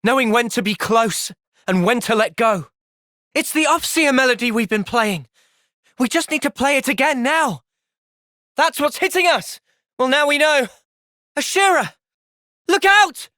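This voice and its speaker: A man playing a gentle, thoughtful young soldier hero in a video game, earnest and reflective in manner. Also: very high voice, expressive, a little slow, long pauses, no echo, clean studio sound, heavily acted. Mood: intense, then alarmed. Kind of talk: video game cutscene voice acting.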